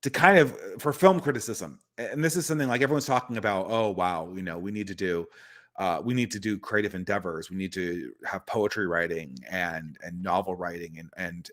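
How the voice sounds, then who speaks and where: A man's voice: low-pitched, a bit bright. A man hosting a casual live-stream chat from home, rambling with many restarts. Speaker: host.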